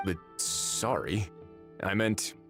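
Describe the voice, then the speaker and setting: Game casting: slouchy reluctant dude, lowish, slow drawl, hesitant. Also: male voice, fairly bright tone, soft background music. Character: slow, casual man, reluctant and awkwardly polite. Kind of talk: video game character acting.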